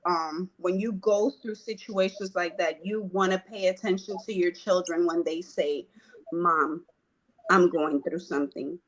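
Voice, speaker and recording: A woman from Puerto Rico telling her life story in a conversation, earnest and hesitant, with frequent pauses. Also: mid-range voice, low-quality recording. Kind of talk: personal testimony in conversation.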